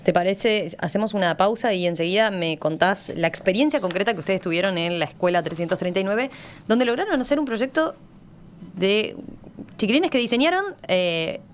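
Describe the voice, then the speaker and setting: A woman's voice: mid-range voice, expressive, moderate pace, a little hiss or hum. A woman with a Uruguayan accent hosting a conversational radio interview from a studio. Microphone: studio microphone.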